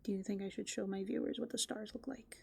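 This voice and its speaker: Woman as a soft-spoken creaky raccoon girl. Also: medium pitch, steady tone, fast, heavily acted. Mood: soft, curious. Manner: casual, hushed, often drops into whispers. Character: playful, easygoing female raccoon living in a dumpster. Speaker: ASMR host. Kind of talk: soft-spoken furry ASMR roleplay.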